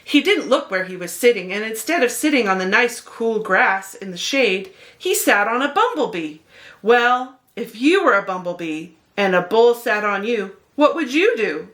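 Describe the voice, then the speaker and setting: A woman's voice: mid-range voice, big pitch swings, normal speed, fairly bright tone. A woman narrating a children's picture book expressively, as a read-aloud host speaking to young listeners.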